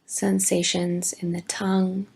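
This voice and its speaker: A woman teaching yoga nidra, giving gentle, deliberate guided meditation instructions with long pauses. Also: fairly low pitch, monotone, creaky, slightly rough. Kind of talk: slow guided meditation narration.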